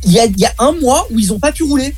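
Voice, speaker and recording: A young man, a student, chatting casually and complaining in a fast, animated way. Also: very high voice, soft background music. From Baden-Württemberg, Germany. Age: young adult. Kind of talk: casual chat.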